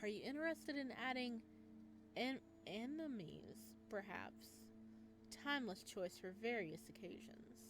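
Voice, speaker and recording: A woman voicing a polite male florist in a visual novel, speaking slowly and courteously. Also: fairly high pitch, lively intonation, music in the background. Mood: calm courteous. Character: soft-spoken polite male florist, calm and unsettling.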